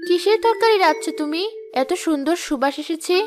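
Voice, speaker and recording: A woman voicing a hot-tempered, boastful young village wife in a cartoon, with a demanding, sharp-tongued manner. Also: very high voice, expressive, fairly quick, noisy background, voice pushed up. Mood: eager. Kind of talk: cartoon character dialogue.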